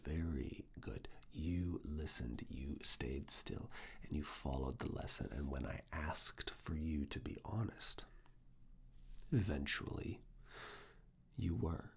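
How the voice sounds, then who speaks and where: Male ASMR performer, professor role: extremely low, whispered, gravelly, with deliberate, teasingly stern and intimate delivery. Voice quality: breathy whisper mixed with very low creaky murmur. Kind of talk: ASMR personal attention roleplay.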